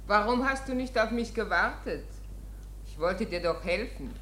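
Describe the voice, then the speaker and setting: A woman's voice: medium pitch, lively intonation, normal speed, a bit bright, echoey room, a little hiss or hum, natural voice. A woman acting in a German radio drama as a reproachful, disappointed helper with a plaintive manner. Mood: reproachful, sad.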